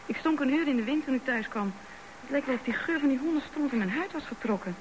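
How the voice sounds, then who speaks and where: A woman's voice: high-pitched, expressive, fast talker. An actress playing a candid, blunt wife in her thirties in a radio drama. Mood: matter-of-fact, grumbling. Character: younger wife of thirty-five, candid and indignant. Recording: background noise on radio drama recording.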